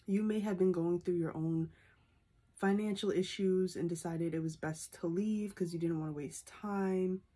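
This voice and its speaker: A woman's voice: lowish voice, mellow, slightly rough. A young woman with a stuffy, congested voice from a sinus infection, reading tarot into a phone camera in a small room, ending most points with "okay?". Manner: repeats a questioning "okay?" after nearly every point. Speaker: tarot reader. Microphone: phone camera at arm's length.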